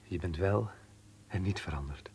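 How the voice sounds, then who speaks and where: An actor playing a married man secretly in love in a radio play, restrained but intense, with a hushed, husky voice. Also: deep voice, normal speed, no echo, heavily acted. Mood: tender, restrained.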